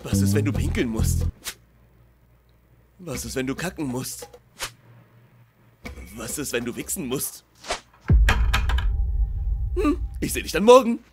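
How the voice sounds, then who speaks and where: A man's voice: medium pitch, sing-song, slow, vocal fry, pauses often, music in the background, full character voice. A man voicing a goofy, easily touched young best friend in a comedic fan dub, gushing and melodramatic. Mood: curious, chatty.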